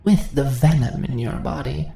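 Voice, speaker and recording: A woman voicing a seductive, dominant spider monster in an intimate ASMR roleplay, breathy and often whispering, with teasing, condescending questions. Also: rumbling low, normal speed, warm tone. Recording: roomy echo, faint background noise.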